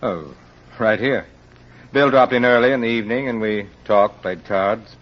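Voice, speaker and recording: Man acting low-voiced, guarded attorney, old radio. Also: normal speed, close to an everyday voice. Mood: guarded politeness. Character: reserved, guarded male attorney and loyal friend. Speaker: radio drama actor. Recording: dull, noisy old radio recording.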